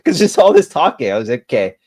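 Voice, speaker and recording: A man co-hosting a casual chat, relaxed and rambling, laughing as he talks. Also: mid-range voice, fast, no room sound, studio quality. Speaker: co-host.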